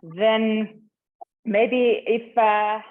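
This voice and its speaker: A woman's voice: on the high side, level tone, very slow. A woman moderating an online information session, speaking hesitantly with many fillers over a video call. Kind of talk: hosting an online info session. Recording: tinny, phone-like online call audio.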